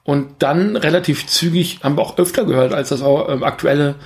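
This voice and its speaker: A man's voice: fairly high pitch, fairly quick, a little mellow. A man co-hosting a film podcast, talking casually and searching for words as he reasons aloud.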